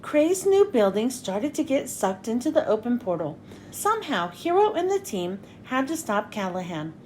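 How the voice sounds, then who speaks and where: A woman reading a children's story aloud in an expressive, dramatic storytelling style. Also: a little high, moderate pace, slight background noise. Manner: expressive, dramatic with character lines. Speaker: children's book reader. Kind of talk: animated read-aloud storytelling for kids.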